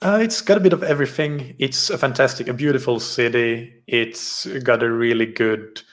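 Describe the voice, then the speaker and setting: Flat-voiced man from Finland, Swedish native. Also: medium pitch, normal speed. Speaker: podcast host and triathlon coach, guest. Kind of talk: casual interview chat.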